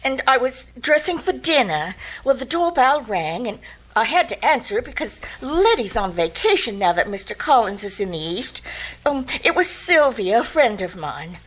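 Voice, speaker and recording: A woman's voice: on the high side, sing-song, moderate pace, a bit bright, low-quality recording. An actress playing an anxious, flustered wife in a radio detective drama, hesitant and stammering as she pleads for help. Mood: nervous, explaining. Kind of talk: old-time radio detective drama acting.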